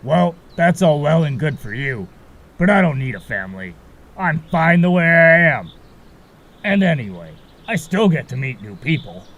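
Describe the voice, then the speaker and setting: A man's voice: on the high side, very animated, moderate pace, a bit bright, full character voice. A man voicing a carefree, cagey marsh fairy guide in an audio drama, talking in a breezy, casual way. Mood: defensive. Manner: breezy, casual, a bit evasive.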